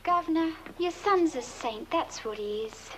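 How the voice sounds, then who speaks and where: A woman's voice: very high-pitched, lively intonation, measured pace, fairly bright tone, exaggerated voice. A woman playing a fussing, affectionate British pub barmaid in a comic film. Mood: doting. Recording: noisy old film soundtrack.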